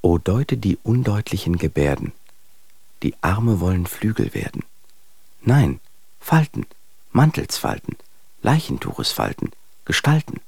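An actor playing an anguished poet who declaims dark verse in broken, incantatory phrases for a radio drama. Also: male voice, on the low side, very animated, unhurried, creaky, pauses often, breathy edge, no echo, full character voice.

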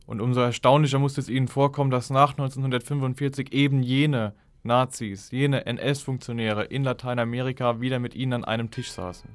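Male documentary commentator. Medium pitch, formal and articulate, close dry sound. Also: no room sound. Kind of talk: explanatory commentary on history.

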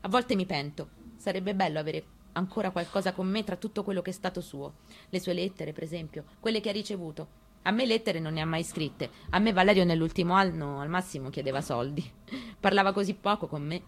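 A woman's voice: fairly low pitch, a little fast, a little hiss or hum, natural voice. An actress playing an elderly mother grieving her murdered son in an intimate, reflective radio theatre monologue, in short phrases. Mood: sorrowful, resolute.

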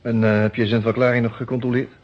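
An actor playing a curious, mildly sceptical lawyer in a radio play, husky, informal and inquisitive. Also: male voice, mid-range voice, flat delivery, fast, close to an everyday voice. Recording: dull old radio recording.

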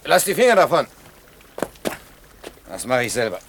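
An actor in a German radio play playing a haughty, suspicious mule driver who talks curtly and dismissively. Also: male voice, medium pitch, sing-song, normal speed, fairly bright tone, slight background noise. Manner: curt, dismissive.

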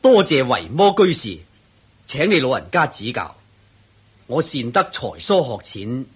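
An actor playing an earnest, respectful wealthy benefactor in a Cantonese radio drama, humble in tone with a Hong Kong accent. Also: male voice, medium pitch, big pitch swings, measured pace, husky, full character voice. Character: humble, earnest male wealthy almsgiving elder.

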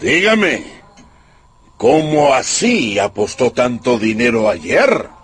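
Stern, slow, emphatic general. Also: male voice, mid-range voice, sing-song, a little hiss or hum. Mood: curt authority. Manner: emphatic, authoritative, reproving. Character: stern, lecturing general, head of family. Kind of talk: Spanish-language radio drama acting.

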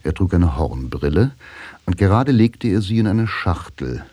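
Very deep-voiced male narrator. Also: sing-song, unhurried, warm tone, dry recording. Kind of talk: scripted radio play narration. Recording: clear music behind the voice.

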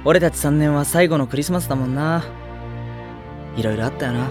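A man voicing a friendly, easygoing senior high-school boy in an anime audio drama, gently playful and teasing. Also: fairly quick, close to an everyday voice. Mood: nostalgic. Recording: music faintly behind the voice.

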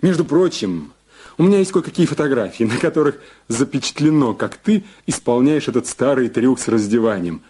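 An actor in an audio drama playing a sleazy, mocking blackmailer husband, wheedling and drawling with gloating repetitions. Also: male voice, medium pitch, expressive, brisk, mellow, husky.

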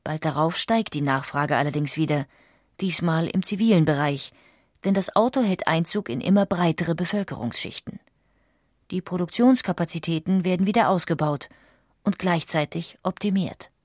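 A woman reading scripted documentary narration with measured, precise enunciation. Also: on the low side, a little mellow, no room sound, studio quality.

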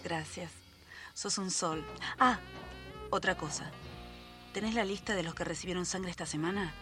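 A woman's voice: on the low side, moderate pace, over music, lightly acted. An actress playing a discreet, determined woman who coaxes for secrets in a radio drama, with an Argentine accent. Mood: coy, secretive. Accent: Argentine, Rioplatense. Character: discreet, determined, coaxing amateur sleuth woman.

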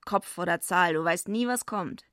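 An actress playing a blunt, defiant, streetwise young female wrestler with a husky, gravelly voice in a radio drama. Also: fairly low pitch, normal speed, a bit bright, no echo, clean studio sound, close to an everyday voice. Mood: wry, narrating. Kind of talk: radio drama acting and first-person narration.

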